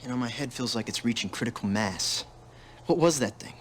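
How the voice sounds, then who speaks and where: An actor playing a wisecracking, hot-headed soldier hero in a science fiction video game cutscene. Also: male voice, medium pitch, a little fast, a little hiss or hum, lightly acted. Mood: sarcastic, complaining. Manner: cocky, sarcastic. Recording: music behind the voice. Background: music.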